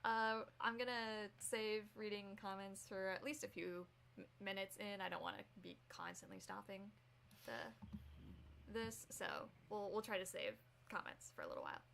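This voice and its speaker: A woman co-hosting a reaction stream talks in a casual, conversational way. Her voice is mid-pitched with plenty of vocal fry, over faint background noise. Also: big pitch swings, moderate pace, a bit bright. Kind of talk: casual live reaction chat.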